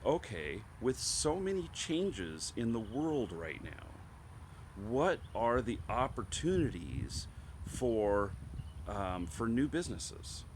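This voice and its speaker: A man with a congested voice from a head cold, hosting an online show with a casual opinion monologue, halting and emphatic as he thinks aloud. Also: mid-range voice, big pitch swings, slight background noise. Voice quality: slightly stuffed-up, congested. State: has a head cold, clearing throat.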